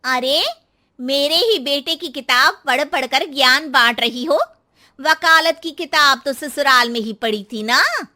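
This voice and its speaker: A woman's voice: sits high, measured pace, sharp tone, pitched above the performer's own voice, exaggerated voice. A woman voicing a greedy, bossy, middle-aged mother-in-law in a moral story, scolding and taunting as she makes demands. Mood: scornful. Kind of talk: animated moral story character acting.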